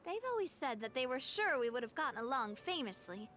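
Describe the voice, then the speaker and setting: A self-assured young heiress. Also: female voice, high voice, very animated, a little fast, a bit bright, a little hiss or hum. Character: confident young woman, heiress leading a family group. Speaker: leader of a family organization. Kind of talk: game cutscene voice acting. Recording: game audio with faint music.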